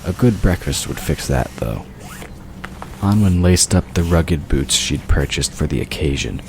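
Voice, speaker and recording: A man's voice: a bass voice, lively intonation, measured pace. A man reading scripted audiobook narration of a story. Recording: clear noise behind the voice. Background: ambient sound effects.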